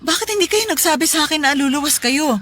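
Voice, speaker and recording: Wife role: secretive working mom, radio drama delivery, lightly high voice. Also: female voice, lively intonation, fast, fairly bright tone. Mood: startled, evasive. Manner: hesitant, stammering when cornered. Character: working wife and mother hiding an affair.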